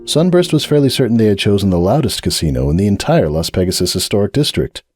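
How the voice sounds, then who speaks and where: A man narrates a dramatic reading in a measured, expressive way, with pitch that rises and falls freely. His voice sits a little low with a dark, mellow tone. The recording is dry and close. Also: breathy edge, dry recording, soft background music.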